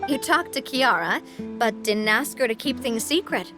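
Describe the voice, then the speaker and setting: An actress playing a poised young lady in a game, polished, knowing and gently teasing. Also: on the high side, lively intonation, normal speed, music in the background, close to an everyday voice. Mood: knowing, playful. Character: poised, playful young lady with an information network. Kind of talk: scripted game dialogue.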